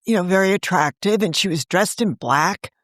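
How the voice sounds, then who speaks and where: A woman's voice: lowish voice, moderate pace, a bit bright, no echo, studio quality. A woman novelist talking as an interview guest, telling stories in a chatty way and laughing often. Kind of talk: casual interview chat.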